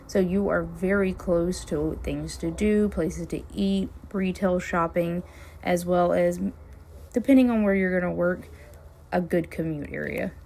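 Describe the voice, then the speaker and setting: A woman realtor, chatting casually while driving, her voice hoarse and scratchy as she loses it. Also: lowish voice, unhurried, mellow. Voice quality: gravelly, hoarse. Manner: friendly, chatty, upbeat sales talk. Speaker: realtor hosting a neighborhood tour channel. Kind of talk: casual driving-tour vlog talk. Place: inside a car, driving.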